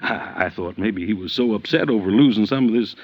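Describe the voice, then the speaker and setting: A man's voice: lowish voice, brisk, dry recording, natural voice. Man acting a folksy, drawling Southern insurance agent, fifties. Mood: riled, puzzled. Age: 50s. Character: stocky folksy insurance agent in his late fifties, chatty, riled. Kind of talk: 1950s radio drama acting. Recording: dull vintage radio recording.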